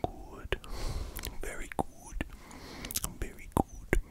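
Casting: vampire dog count acted by a puppeteer, playful and softly menacing, all in hushed, slow ASMR whispers, close-miked. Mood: soothing. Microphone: close pair of microphones.

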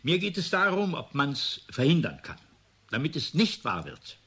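A brisk professor of parapsychology in a radio drama. His voice is very husky yet forceful, swinging widely in pitch as he mutters and repeats himself. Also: huge pitch swings, exaggerated voice. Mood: determined. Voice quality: husky, raspy.

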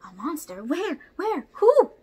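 Woman as a gentle orangutan, lively pitch. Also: slow pace, heavily acted. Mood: excited. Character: female orangutan, gentle, anxious, kindly young friend.

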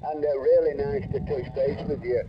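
A man's voice: a little high, huge pitch swings, moderate pace. A man operating a CB radio, making contact chatter, heard through a radio speaker. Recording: dull, noisy CB radio transmission.

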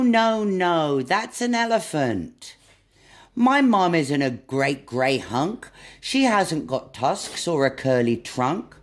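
A woman's voice: low-pitched, exaggerated voice. A woman reading a storybook aloud as a lost, upset young monkey who protests in exasperation.

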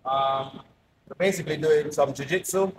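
A man's voice: on the high side, moderate pace. A man from Jamaica making an upbeat invitation to a gym class, checking in with "right?" as he talks. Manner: upbeat, checking in with tag questions. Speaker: gym organizer. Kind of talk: inviting announcement to camera. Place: large indoor gym. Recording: echoey gym, distant from mic, noisy.

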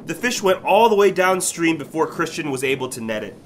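A man's voice: on the high side, fairly quick. A young man eagerly narrating his first salmon catch as a voice-over. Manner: excited, earnest storytelling. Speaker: vlog narrator and angler. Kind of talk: voice-over narration of a fishing trip. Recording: voice-over with loud background music.

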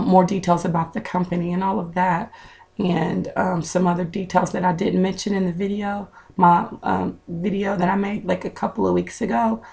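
A woman in her thirties with a raspy voice, chatting to viewers in a casual home vlog, recorded on a webcam indoors. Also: on the low side, darkish tone. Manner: chatty, direct to viewers, with fillers. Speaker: vlogger. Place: small room at home, indoors.

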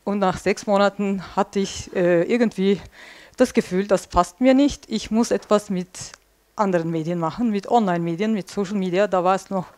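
A woman's voice: medium pitch, normal speed, darkish tone. A woman in her forties from Turkey, speaking, giving an informal conference talk in a small room on a headset mic, with many filler sounds, drawing on a flip chart as she speaks. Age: 40s. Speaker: speaker at a tech policy evening. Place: small dim event room indoors.

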